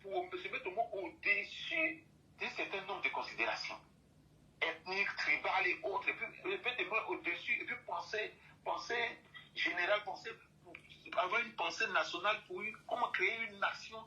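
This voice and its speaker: A man's voice: sits high, expressive, normal speed, pushed and bright, low-quality recording. A man from Côte d'Ivoire, speaking as a guest in an impassioned talk and repeating and restating his phrases for emphasis.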